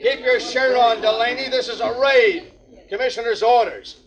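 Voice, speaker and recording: A man's voice: very high-pitched, fairly even intonation, normal speed. An actor playing a brusque male police lieutenant who barks orders and interrogates a suspect in a 1940s radio drama. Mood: commanding. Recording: dull 1940s radio recording.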